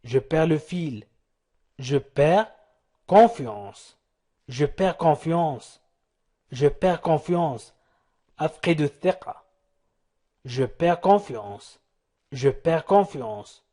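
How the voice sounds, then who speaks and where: Slow, husky man drilling phrases. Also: a little high, mellow. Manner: repeats phrases slowly, splits them into chunks. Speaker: language teacher. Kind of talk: slow repetition drills for learners.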